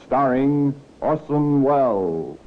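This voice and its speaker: A man's voice: medium pitch, animated, slow, very noisy. A man announcing a drama series in formal, declamatory mid-century radio style. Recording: tinny old radio recording. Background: dramatic music.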